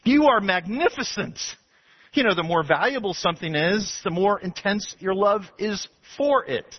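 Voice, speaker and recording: A man from Texas delivers a sermon to his church with lively swings of pitch that rise to emphatic preaching. His voice is a bit husky and sits a little high. The recording sounds dull and lo-fi. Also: moderate pace. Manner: animated, rising to emphatic preaching. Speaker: pastor. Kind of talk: expository sermon.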